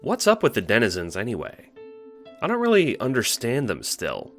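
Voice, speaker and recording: A man's voice: mid-range voice, huge pitch swings, normal speed, a bit bright, faint music behind. A man playing a meek, earnest young male troll who talks with a hesitant, apologetic stammer in an audio drama monologue. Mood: unsure, wistful. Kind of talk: audio drama monologue, recounting adventures as a log.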